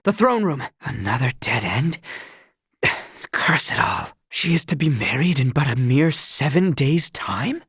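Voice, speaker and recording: A man's voice: fairly high pitch, slightly rough, no room sound, clean studio sound, exaggerated voice. A man voicing an earnest, dutiful young royal knight in a video game, with courtly, precise, old-fashioned diction. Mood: urgent, then frustrated. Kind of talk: video game character voice lines.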